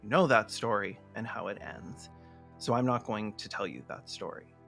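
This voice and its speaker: A man's voice: lowish voice, normal speed, creaky, fairly bright tone, faint music behind. A man narrating a scripted video essay with casual asides, in an emphatic, opinionated way. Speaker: video essayist and podcast host.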